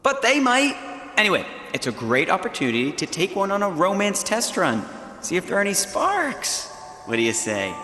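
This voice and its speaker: A man's voice: high-pitched, wildly swooping pitch, moderate pace, pushed and bright, a little hiss or hum, full character voice. An actor playing a flamboyant, showy male dating show host in a video game, with a theatrical, coaxing manner. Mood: coaxing, dramatic. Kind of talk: video game character acting. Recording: roomy long reverb, music underneath.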